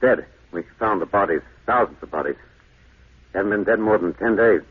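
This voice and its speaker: An actor playing an idealistic, brooding archaeologist in a 1950s radio drama, earnest and intense, with a husky voice. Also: male voice, fairly low pitch, brisk. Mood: grim. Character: idealistic, brooding male archaeologist on a space crew. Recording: muffled, tinny 1950s radio recording.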